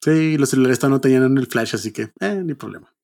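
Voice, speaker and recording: A man's voice: mid-range voice, lively intonation, quick pace, gravelly, a little mellow. A man with a Mexican accent chatting casually as a podcast co-host, often repeating himself as he goes. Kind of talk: casual chat.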